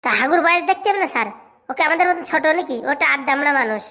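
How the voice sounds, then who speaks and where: Voice actor as insolent cartoon schoolkid, bickering excuse-maker, high-pitched, breakneck pace, rural Bengali dialect. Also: lively intonation, very fast, pushed and bright. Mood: mocking. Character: mouthy young pupil, brazen excuse-maker. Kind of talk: comic cartoon dubbing.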